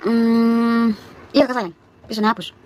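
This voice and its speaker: A woman of about thirty from East Nusa Tenggara, speaking with its regional Malay accent, chatting to viewers on a phone livestream and telling her story in rapid, chatty bursts full of asides. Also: on the high side, fairly even intonation, darkish tone, a little hiss or hum, rough recording. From East Nusa Tenggara, Indonesia. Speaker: live streamer. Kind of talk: casual live-stream testimony to viewers.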